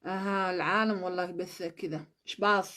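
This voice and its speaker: A woman's voice: on the low side, steady tone, rapid-fire, sharp tone. A woman from Somalia, chatting casually on a livestream, switching languages and thanking viewers for gifts. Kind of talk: casual live-stream chat.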